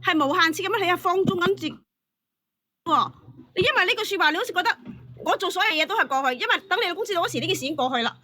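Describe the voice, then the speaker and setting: A woman's voice: extremely high pitch, animated, rapid-fire, sharp tone, no echo. A woman arguing heatedly as a call-in panelist, scolding and driving her points home by repetition. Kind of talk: heated advice and argument.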